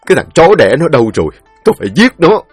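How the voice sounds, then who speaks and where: A man's voice: high voice, expressive, moderate pace, dry recording, faint music behind, pitched above the performer's own voice. A man playing an old father who wails in anguish and rage over his murdered son. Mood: raging. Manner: wailing, anguished, raging.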